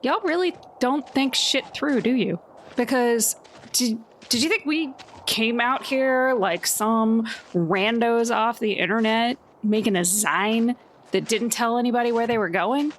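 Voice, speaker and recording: A mouthy, defiant female agent, played by a woman at a game table, with a fairly high voice and a sarcastic bite. Some background noise sits behind her. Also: a little slow, lightly acted. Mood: scornful defiance.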